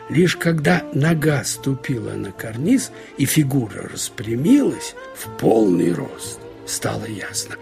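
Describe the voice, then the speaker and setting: A man narrating a literary short story in an expressive, storytelling way with wry colloquial asides. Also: medium pitch, creaky. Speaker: narrator reading short fiction. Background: music.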